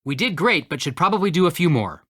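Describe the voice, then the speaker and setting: Eager young sidekick in a video game, with a lowish female voice. The delivery is casual, upbeat and full of swoops, recorded dry. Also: very animated, quick pace, fairly bright tone, slightly rough, dry recording, heavily acted. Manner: enthusiastic, casual.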